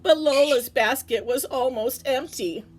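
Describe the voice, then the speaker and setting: A woman teacher reading a children's picture book aloud in an animated way, with playful, chatty asides and questions to her pet bird. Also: sits high, unhurried, slightly rough.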